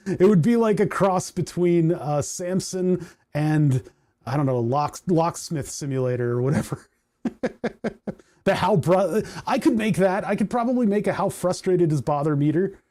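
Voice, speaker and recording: A man hosting a casual coding livestream, rambling and chatty with frequent laughs, his voice starting to go hoarse. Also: high-pitched, unhurried, dark tone. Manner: rambling, chatty, laughs often, reads chat aloud. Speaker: livestream host.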